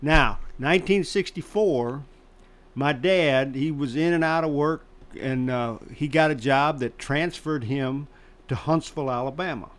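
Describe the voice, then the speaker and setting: An older man from Chicago telling baseball stories in casual conversation, animated and laughing as he goes. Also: fairly high pitch, unhurried. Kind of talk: casual storytelling.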